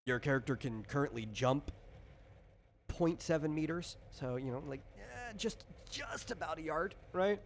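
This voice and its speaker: A man's voice: fairly high pitch, animated, a little slow, gravelly, slight background noise. A man explaining things in casual chat as a game designer, often checking in with "right?". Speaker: game designer guiding character creation.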